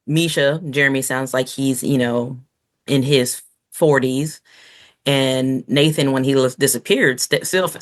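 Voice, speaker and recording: A low-pitched woman talks informally as a podcast co-host. She works out her thoughts aloud in a slightly halting, conversational way. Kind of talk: casual chat.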